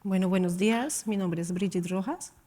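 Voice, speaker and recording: A woman from Colombia, a panel guest at a conference, giving a personal account with hesitant pauses and fillers. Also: mid-range voice, warm tone. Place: conference hall.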